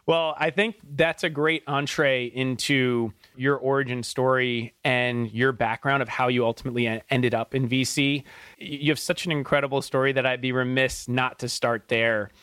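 Low-voiced male podcast host. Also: steady tone, normal speed, a bit bright, clean tone, no echo, clean studio sound. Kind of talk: scripted podcast introduction and interview.

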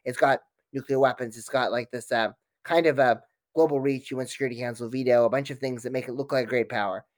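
A man's voice: medium pitch, steady tone, fast, no room sound. A man speaking as an expert guest in an interview, giving analytical, wordy answers with frequent false starts.

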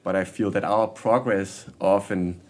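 A man's voice: deep voice, steady tone, measured pace. A man, a guest in a casual interview, speaking candidly with frequent restarts and repeated words. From Denmark. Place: workshop basement.

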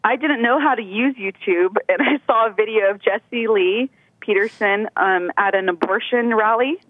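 A woman's voice: mid-range voice, moderate pace, no room sound. A woman from California calling in to a show, chatty and rambling, giggling as she talks over a phone line. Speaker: caller. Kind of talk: casual phone-in chat. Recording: tinny phone line, dry.

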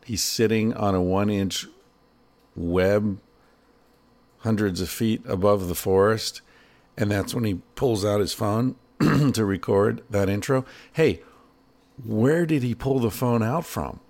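A man with a very low, gravelly voice hosts his own podcast. He talks casually and playfully, cracking jokes between listener greetings and an ad read. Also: slow. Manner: playful, joking, animated asides. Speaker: podcast host.

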